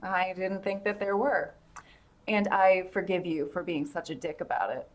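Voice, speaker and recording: An actress playing a self-assured, businesslike government aide in an audio drama, brisk and frank. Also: female voice, on the low side, fairly even intonation, close to an everyday voice. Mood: frank, relaxed. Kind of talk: full-cast audio drama acting.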